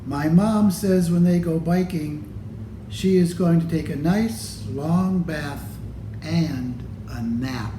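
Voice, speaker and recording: A man reading a children's storybook aloud in a deliberate, expressive way, addressing young listeners. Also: on the high side. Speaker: storybook reader. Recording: echoey room with clear background noise.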